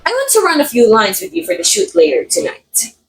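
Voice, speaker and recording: A woman acts out a haughty, catty actress in an ASMR roleplay drama. Her voice sits fairly high and swings dramatically in pitch, drawling key words with sardonic condescension and a playful edge of menace. A faint noise sits behind the recording. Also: huge pitch swings, brisk. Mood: condescending, smug. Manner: theatrical, sardonic, drawling some words for emphasis. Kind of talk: ASMR roleplay monologue, voice acting.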